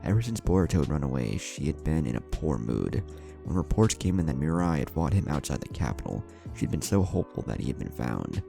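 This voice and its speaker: A man's voice: extremely low pitch, very animated, brisk, vocal fry, dark tone. A man reading scripted fan fiction as a story narrator, with music playing underneath. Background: background music.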